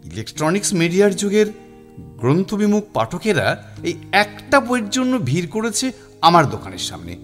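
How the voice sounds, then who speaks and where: A man playing an anguished, helpless older publisher in an audio drama, pleading and apologetic in a theatrical, halting way. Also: sits high, wildly swooping pitch, soft background music. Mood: effusive, coaxing. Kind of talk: Bengali audio drama acting.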